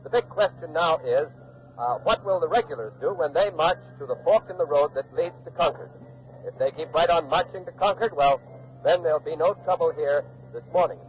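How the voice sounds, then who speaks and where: Actor as high-pitched radio field reporter, vintage sound. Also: male voice, close to an everyday voice. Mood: measured, observant. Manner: measured, descriptive, articulate eyewitness reporting. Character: earnest male radio field reporter at a colonial standoff. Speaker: on-the-scene news reporter. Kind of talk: 1940s radio docudrama live news commentary. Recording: dull old radio recording with hiss.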